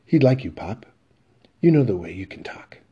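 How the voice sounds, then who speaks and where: A man's voice: lowish voice, very animated, normal speed, mellow, slightly rough, highs cut off, heavily acted. A man voicing an earnest teenage athlete pleading with his father in a play reading. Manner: eager, pleading.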